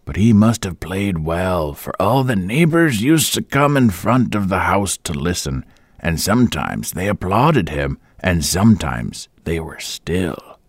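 A man voicing a deaf, sly old woman landlady who speaks evasively in a high, wavering voice. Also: creaky, breathy edge, no room sound, studio quality, exaggerated voice.

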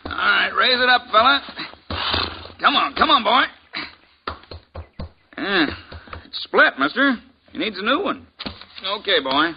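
A man's voice: high-pitched, very animated, unhurried, creaky, long pauses, exaggerated voice. An actor playing a slight, cocky young man posing as a blacksmith, coaxing and wheedling, in 1950s radio drama acting on a lo-fi recording. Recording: lo-fi old radio with faint hiss.

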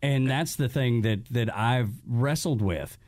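A man's voice: mid-range voice, unhurried, darkish tone, no echo, clean studio sound. A podcast host in his forties chatting casually and enthusiastically, rambling and repeating words as he searches for them. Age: 40s. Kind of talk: casual chat.

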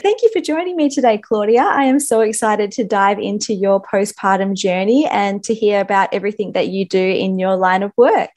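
A woman hosts a podcast in an easy, chatty way, with plenty of fillers and a bright, upbeat tone. Her voice is croaky and rough today. She sounds a little set back from the microphone. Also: medium pitch, brisk, hardly any pauses. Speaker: podcast host. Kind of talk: casual interview chat.

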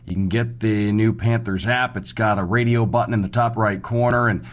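A man's voice: on the low side, fairly even intonation, moderate pace. A man hosting a sports radio show, delivering announcements and thanks in a broadcast style.

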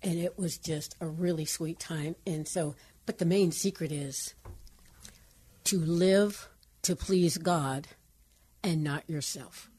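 Older woman, radio co-host, husky, low-pitched, carrying voice, lively storyteller. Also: slow pace. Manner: lively storytelling, bursts into song. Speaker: radio co-host, pastor's wife.

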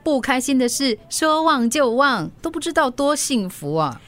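A woman's voice: high-pitched, big pitch swings, moderate pace, a bit bright. An actress with a Singaporean accent playing a stubborn, cheeky young mother who is unwell, in a radio drama. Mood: grumbling, cheeky. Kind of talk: Chinese radio drama acting.

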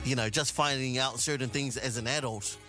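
A man's voice: medium pitch, steady tone, brisk, sharp tone. A man with a New Zealand accent hosting a radio show with casual, joking, slangy banter into a close studio mic. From South Auckland, New Zealand. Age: adult. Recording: music bed behind the voice.

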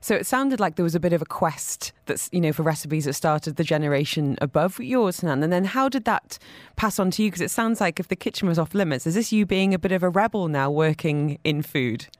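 A woman's voice: on the low side, brisk, no room sound, clean studio sound. A woman hosting an interview in a chatty, inquisitive, conversational way. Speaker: interviewer, host.

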